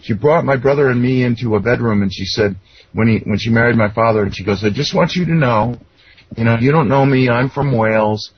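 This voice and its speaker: A middle-aged or older man talks as an interview guest, his voice husky and of medium pitch. He explains and tells personal stories earnestly, wandering as he goes. The audio is a little dull and lo-fi. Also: brisk. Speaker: interview guest, self-described contactee. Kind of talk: conversational personal storytelling.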